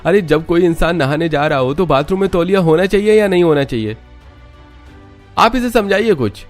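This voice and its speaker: A man voicing a short-tempered young husband who scolds his new wife in a loud, harsh voice. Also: on the high side, animated, normal speed, faint music behind, voice pushed up, close to an everyday voice. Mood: angry shouting. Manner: shouting, scolding.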